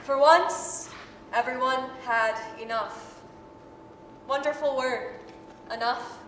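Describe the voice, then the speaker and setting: High-voiced woman narrator in an echoey hall. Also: slow pace, a bit bright. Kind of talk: scripted dramatic storytelling, 1930s radio style. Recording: echoey hall, faint noise.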